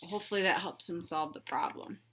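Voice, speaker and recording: A woman reading a children's storybook aloud in an animated, emphatic way, pausing to put questions to young listeners. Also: lowish voice, vocal fry, noisy background. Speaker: read-aloud host for children.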